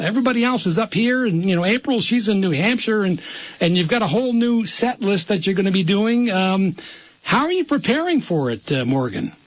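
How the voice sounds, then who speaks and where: A man with a notably high voice hosts a live radio interview. He rambles and trips over his words, repeating himself before each thought lands. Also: no echo. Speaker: radio host.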